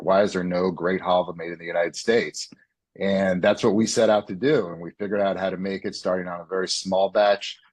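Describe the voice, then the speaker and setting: A man, a guest on an online panel, describing his family business in a plain, steady way with slight hesitations. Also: sits low, no echo. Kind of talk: business interview answer.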